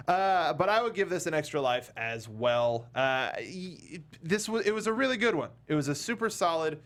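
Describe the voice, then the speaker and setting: A man's voice: fairly high pitch, lively intonation, moderate pace, a bit bright. A man hosting a casual panel chat, talking in a jokey, rambling way. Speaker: podcast host.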